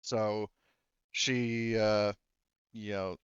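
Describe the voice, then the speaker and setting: Casual man with a smooth, low voice. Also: flat delivery, dry recording, dull audio. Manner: halting, conversational, hedging. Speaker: game master. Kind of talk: improvised tabletop game narration, casual.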